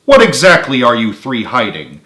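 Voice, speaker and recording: A man voicing an angry, sarcastic young woman who snaps and shouts. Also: mid-range voice, huge pitch swings, moderate pace, reverberant. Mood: stern.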